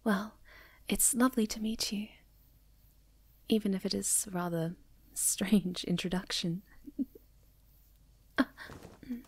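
Slow, soft, timid moth girl played by a woman. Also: medium pitch. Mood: shy, warm. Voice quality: soft, breathy, often near a whisper. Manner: hesitant, stammering, gentle. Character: sweet, timid, ditzy young moth girl monster. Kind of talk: ASMR roleplay acting.

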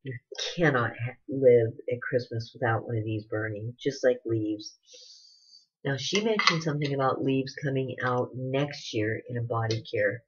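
A woman's voice: rumbling low, moderate pace. Chatty woman on a lo-fi webcam. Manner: chatty, rambling, gushing over scents. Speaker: beauty vlogger. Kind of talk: casual product haul chat. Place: living room at home, indoors. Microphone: webcam at desk distance. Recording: dull lo-fi webcam audio with noise.

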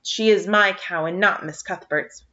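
A young girl with a firm, dignified manner, careful and proper in her speech as a woman reads her in a storybook. Her voice sits a little higher than the reader's own and sounds bright, with a somewhat dull lo-fi recording. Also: unhurried.

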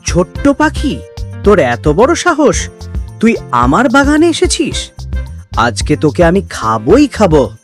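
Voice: mid-range voice, huge pitch swings, slow, faint music behind. A performer voicing an angry, fearsome pot-bellied ogre in a cartoon fairy tale, blustering and threatening. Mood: angry menace.

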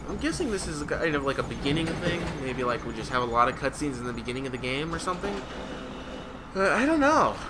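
Higher-pitched young man gaming. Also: sing-song, quick pace, very noisy. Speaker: gaming video host. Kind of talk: casual let's play commentary. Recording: loud game music behind. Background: video game music.

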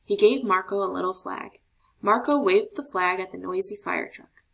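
Creaky-voiced woman reading a children's story. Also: medium pitch, normal speed, a bit bright. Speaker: storybook reader. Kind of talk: expressive children's read-aloud narration.

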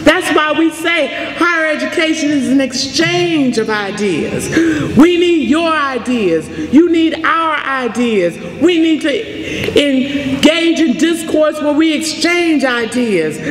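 A middle-aged woman speaking as a college president to an audience, with emphatic, deliberate delivery. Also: high voice. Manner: emphatic, deliberate oratory with repeated phrases. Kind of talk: inspirational address and audience Q&A. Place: large chapel, indoors. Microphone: podium microphone. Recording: echoey hall, faint noise and crowd.

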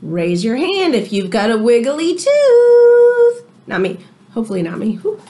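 A middle-aged woman, an elementary teacher, reading aloud to young children with expressive, chatty asides. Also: fairly high pitch, a little slow. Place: small indoor room.

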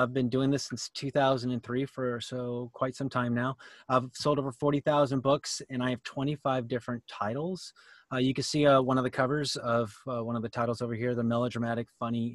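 Male, middle-aged, American. Informal online presenter, mid-pitched voice, dry close sound. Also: fairly bright tone, no room sound. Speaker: presenter, children's playwright and drama teacher. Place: indoor room at home, video call.

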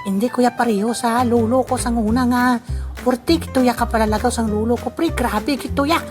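A performer voicing a friendly elderly village man in a comic audio drama, chatting in a halting, repetitive, rambling way. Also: mid-range voice, lightly acted. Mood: rambling, chatty. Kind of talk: amateur comedy audio drama dialogue. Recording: music playing clearly behind the voice.

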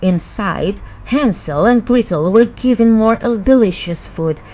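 Slow-paced woman storyteller over music. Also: on the high side, animated, very noisy. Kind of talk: scripted bedtime fairy tale narration.

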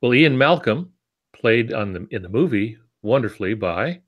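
A man's voice: mid-range voice, animated, moderate pace, muffled recording. An American man in his sixties teaching informally with asides, talking on a video call from a home office. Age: 60s. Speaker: writing teacher, podcast co-host. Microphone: wired earbuds on a video call.

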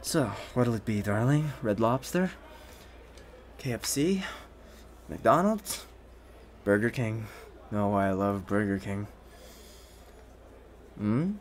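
A man playing a rich, possessive, doting boyfriend in a soft-spoken, coaxing ASMR roleplay. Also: lowish voice, expressive, slight background noise. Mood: smooth, casual. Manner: soft-spoken, unhurried, coaxing with pet names. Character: rich possessive young boyfriend, smooth, doting, quietly menacing.

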